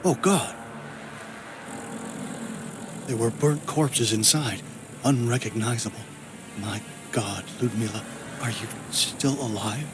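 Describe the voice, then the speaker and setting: A man acting as a troubled game protagonist, grave and anxious, with formal, brooding phrasing. His voice sits at a middling pitch for a man. Music plays behind him. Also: measured pace, close to an everyday voice. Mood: shocked, fearful. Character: distressed adult man searching for his missing sister, anxious, reluctant. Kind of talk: dramatic video game voice acting.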